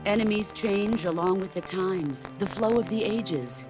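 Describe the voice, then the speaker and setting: An actress playing a legendary middle-aged female soldier and mentor in a video game, speaking in a measured, grave, philosophical way. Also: mid-range voice, over music, lightly acted. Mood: grave, reflective. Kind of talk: dramatic video game cutscene monologue.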